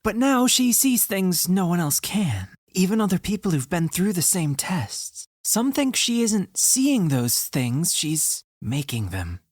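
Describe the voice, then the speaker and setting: An actress playing a sober narrator of eerie events in a scripted audio drama. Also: female voice, lowish voice, big pitch swings, unhurried, few pauses, darkish tone, no echo, studio quality. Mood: sober, mysterious.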